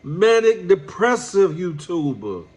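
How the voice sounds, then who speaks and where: A congested man with a nasal, stuffed-up voice speaks in a deliberate, unhurried way, drawing his words out. His pitch sits a little high for a man as he lectures a fellow creator in a preachy, brotherly tone. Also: sing-song, darkish tone. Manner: drawn-out, preachy, addresses the subject as "my brother". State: congested from allergies. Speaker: YouTube commentator. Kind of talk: direct-address commentary vlog.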